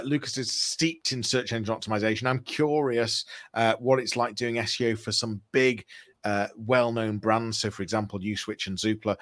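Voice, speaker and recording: A man's voice: medium pitch, fairly bright tone. A man hosting a marketing podcast, presenting to listeners and interviewing a guest. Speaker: podcast host and digital marketer. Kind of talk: scripted intro and live interview hosting.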